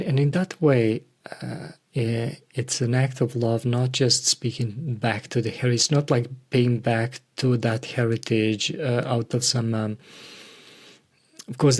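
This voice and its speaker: A man hosting a reflective interview, speaking hesitantly and haltingly as he searches for words. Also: medium pitch, darkish tone. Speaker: podcast host interviewing a guest.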